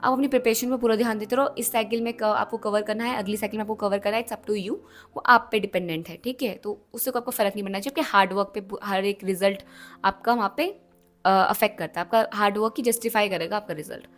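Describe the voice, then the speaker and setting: A young Indian woman with a slightly hoarse throat, explaining things to students in a chatty, emphatic, reassuring vlog style, switching between two languages. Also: mid-range voice, fairly even intonation, fast, soft background music. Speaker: YouTube educator for exam aspirants. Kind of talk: casual explainer vlog in mixed Hindi and English.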